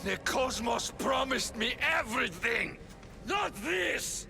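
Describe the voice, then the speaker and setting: An actor playing a deranged man hiding in filth, with a gravelly, unhinged way of shouting in a game cutscene. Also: extremely high pitch, expressive, slow pace, over music, heavily acted. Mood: ranting. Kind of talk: video game cutscene acting.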